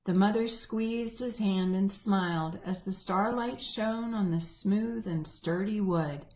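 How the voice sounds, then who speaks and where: A woman reading a picture book aloud to children, gently and expressively. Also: mid-range voice, slow pace. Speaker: church children's storyteller.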